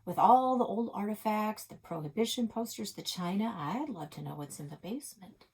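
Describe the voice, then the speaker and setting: A woman's voice: medium pitch, lively intonation, moderate pace, darkish tone, slightly rough, voice pushed up. A woman voicing a bookish, boyishly enthusiastic father and history buff from a children's novel, eager to explain. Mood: curious.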